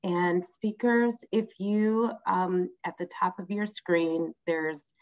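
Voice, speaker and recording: Woman hosting a video call event, kinda low voice, polite, pauses a lot, slightly dull sound. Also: fairly even intonation, darkish tone. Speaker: host, moderator. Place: online video conference.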